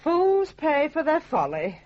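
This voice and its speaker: A woman's voice: high voice, big pitch swings, takes it slowly, full character voice. An actress playing a stern, scornful middle-aged mother, curt and sardonic, in a radio drama. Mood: disapproving. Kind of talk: 1980s radio drama acting. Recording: dull, noisy lo-fi radio recording.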